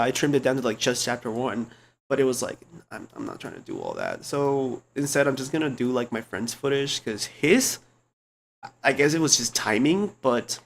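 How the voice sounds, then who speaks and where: A young man streamer with a low speaking voice, talking in a rambling, slangy way full of restarts and fillers, close to a desk mic at home. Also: vocal fry, a little hiss or hum. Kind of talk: casual chat. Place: home computer room.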